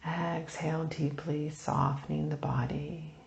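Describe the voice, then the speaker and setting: Slow, low-voiced woman guiding meditation. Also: level tone, gravelly, echoey room, muffled recording. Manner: soft, soothing, unhurried instruction with long pauses. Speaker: meditation teacher. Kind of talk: guided meditation.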